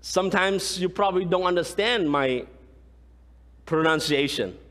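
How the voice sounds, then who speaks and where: A man in his thirties, a pastor from Cambodia with a Khmer accent, preaching a lively sermon and often asking the congregation "right?". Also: high voice, measured pace. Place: large church sanctuary, indoors. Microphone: far camera, room pickup. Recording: long church hall reverb.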